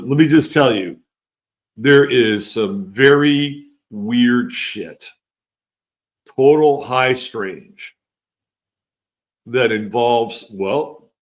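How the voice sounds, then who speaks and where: A man with a mixed California and East Coast accent hosting a casual livestream, chatting loosely with viewers and reading their comments. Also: medium pitch. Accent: California and East Coast mix. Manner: loose and rambling, trails off, restarts, swears casually. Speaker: livestream host.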